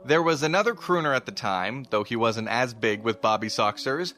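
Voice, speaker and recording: A man's voice: mid-range voice, moderate pace, pushed and bright, faint music behind. A man presenting and explaining history in a narrating style. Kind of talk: explanatory narration on old-time radio history.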